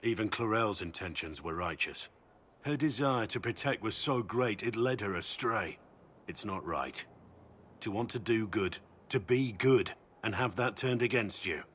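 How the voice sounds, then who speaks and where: Brooding middle-aged warrior for a fantasy game, very low-pitched, earnest, measured, some noise behind. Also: male voice, animated, a bit bright. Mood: solemn. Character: brooding middle-aged bearded male warrior, solemn and devoted. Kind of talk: video game voice acting.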